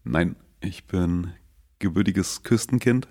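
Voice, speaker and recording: A man's voice: deep voice, takes it slowly, mellow. A man in his forties from northeast Germany, a graffiti artist answering interview questions in an easygoing way, loose with fillers. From Mecklenburg, northeast Germany. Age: 40s. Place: seated indoors in a city.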